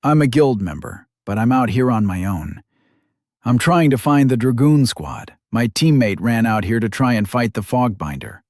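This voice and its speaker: A man's voice: medium pitch, lively intonation, moderate pace, a little mellow, dry recording, studio quality. Male narrator doing a regular young dude lost in a fantasy world, wary, sarcastic, mutters to himself. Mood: earnest calling. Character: ordinary young man stranded in a magic world, wary and sarcastic. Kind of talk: audiobook character voice.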